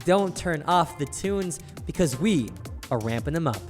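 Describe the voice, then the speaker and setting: A young man hosting a casual podcast, playful and upbeat, his voice a bit raspy from throat irritation. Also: a little high, lively intonation, unhurried, long reverb tail, loud music behind the voice. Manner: playful, upbeat host patter. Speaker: podcast host.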